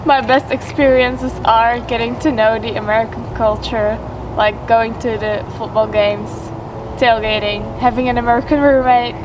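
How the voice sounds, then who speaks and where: A woman's voice: a little high, flat delivery, moderate pace. A woman in her twenties from Belgium, answering casually as an exchange student in an interview. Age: 20s. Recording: distant mic, humming background noise.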